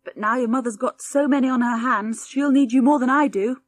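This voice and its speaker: A woman voicing a big, tired farmer and father in a storybook, grumbling in a gruff, weary manner. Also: sits high, fairly quick, no room sound, pitched above the performer's own voice, lightly acted.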